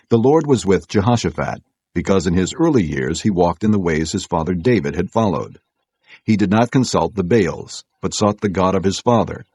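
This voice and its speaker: Low-pitched man narrating scripture, dry sound. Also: a little mellow, breathy edge, dry recording. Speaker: audiobook narrator.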